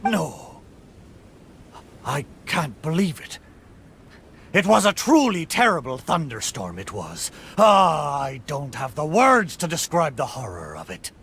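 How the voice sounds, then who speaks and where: An actor voicing the boisterous, emotional spirit of an old ship in a video game, with theatrical speech. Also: male voice, on the high side, huge pitch swings, slow, a bit bright, a little hiss or hum, exaggerated voice. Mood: horrified, anguished. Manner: theatrical, exclamatory pirate talk. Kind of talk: dramatic video game voice acting.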